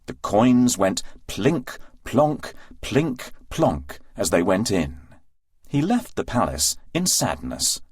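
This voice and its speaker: Very animated, husky male storyteller. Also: lowish voice, takes it slowly, no echo, clean studio sound. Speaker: storybook narrator. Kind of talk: expressive scripted children's narration.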